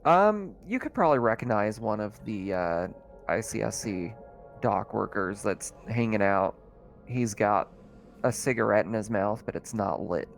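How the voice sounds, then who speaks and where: A man's voice: on the low side, expressive, a little slow, creaky. A man narrating a tabletop roleplaying game as game master, in a casual, conversational, improvised way. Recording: music under the voice.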